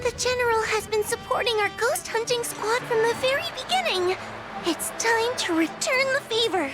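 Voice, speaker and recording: A timid little girl judge from an anime-style game. The voice is tiny and extremely high, anxious yet dutiful. Music runs underneath. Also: lively intonation, moderate pace. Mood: solemn.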